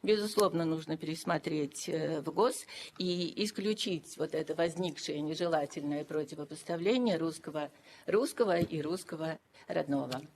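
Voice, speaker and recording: Emphatic woman with a lowish voice. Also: a little mellow. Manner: emphatic, deliberate, repeats key phrases. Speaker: education expert addressing a council. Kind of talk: formal remarks at an official meeting. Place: official videoconference meeting. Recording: noisy videoconference audio.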